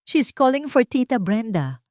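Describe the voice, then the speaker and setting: A woman's voice: on the high side, very animated, unhurried, a little mellow, dry recording, studio quality, voice pushed up. A woman voicing an anxious adult nurse who reports in a shaky, worried way. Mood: urgent.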